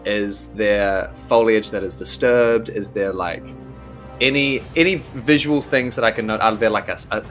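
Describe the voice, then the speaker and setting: A man's voice: on the low side, steady tone, moderate pace, a bit bright, faint music behind. A man with a New Zealand accent playing a tabletop game, thinking aloud, trailing off and restarting, often laughing. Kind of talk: casual in-character play.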